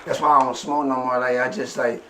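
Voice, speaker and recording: A man with a worn, raspy voice chatting casually and talkatively in slang as a podcast host. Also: medium pitch, little pitch movement, brisk, fairly bright tone, slight background noise. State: voice worn from smoking and studio work. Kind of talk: casual chat.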